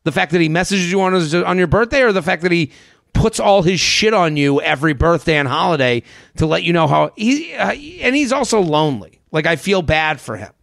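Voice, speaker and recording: A man's voice: a little high, lively intonation, a little fast, vocal fry, a bit bright, dry recording. A man hosting a podcast in a casual, comedic advice-giving style, riffing and repeating phrases. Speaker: podcast host. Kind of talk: casual comedic advice chat, sometimes reading listener emails.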